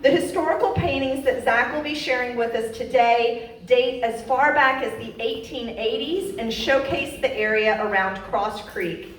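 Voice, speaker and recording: A woman hosts the event and reads a measured, formal introduction of the speaker. Her voice is a little high. The room is echoey and she sounds distant from the mic. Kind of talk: read introduction.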